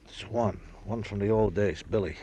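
An actor playing a proud, bitter, aging ex-gangster in an old radio crime drama, brooding in repeated fragments. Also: male voice, fairly low pitch, normal speed, warm tone, husky. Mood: wistful, brooding. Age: older.

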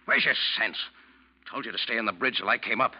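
An actor playing a gruff, scornful, scheming ship's first mate in a classic radio drama, with a blunt, bullying, sarcastic delivery. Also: male voice, lowish voice, quick pace. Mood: angry, scornful. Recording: muffled vintage radio recording.

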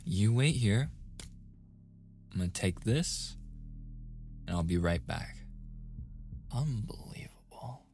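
A man's voice: fairly low pitch, expressive, takes it slowly, long pauses. A man playing a playful, easily flustered young gamer boyfriend in a casual, intimate ASMR roleplay. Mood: flustered, reluctant. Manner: casual, intimate, with chuckles and sighs.

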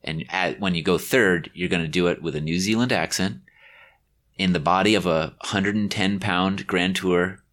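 A man co-hosting a podcast, joking in relaxed banter. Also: deep voice, moderate pace.

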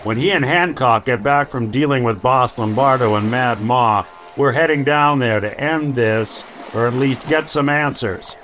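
An actor playing a dry, world-weary detective in a noir radio drama, wry and streetwise. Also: male voice, medium pitch, a little slow, hardly any pauses, fairly bright tone, faint music behind, natural voice. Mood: grim.

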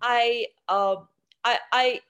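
A woman's voice: on the high side, steady tone, drawn-out words, a bit bright, smooth. A young woman from Iran, an interview guest, speaking earnestly with many restarts. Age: young adult. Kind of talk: interview conversation.

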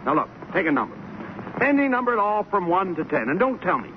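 Army swindler, American, chummy but badgering, very animated, 1940s radio comedy with heavy noise and muffled sound. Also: male voice, sits high, fast talker. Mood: hustling. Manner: glib, insistent, badgering. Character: slick, fast-talking army con man, falsely chummy. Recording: noisy, muffled old 1940s radio broadcast.

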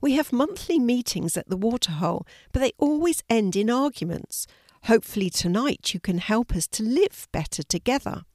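A woman's voice: on the high side, expressive, normal speed, a little mellow, no room sound, clean studio sound, pitched above the performer's own voice, natural voice. A woman voicing an earnest male snake who chairs animal meetings, speaking in an orderly, appealing way.

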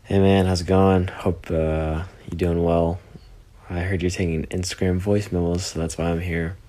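A nineteen-year-old college student leaving a friendly, grateful voice message. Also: male voice, low-pitched, little pitch movement, moderate pace, mellow. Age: teens.

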